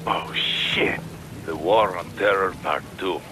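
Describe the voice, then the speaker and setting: A man's voice: low voice, takes it slowly, background noise. A man acting as a pragmatic, worried military adviser with a Russian accent in a video game.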